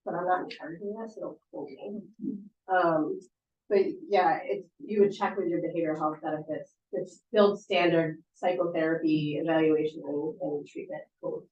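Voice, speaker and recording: A woman therapist chats through audience questions about sleep, loose and informal with lots of fillers and restarts. Her voice sits a little low. It is picked up from a distance in an echoey room, slightly muffled. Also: fairly even intonation, normal speed, a little mellow. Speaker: sleep therapist giving a talk. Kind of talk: casual talk answering audience questions. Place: meeting room at a support center.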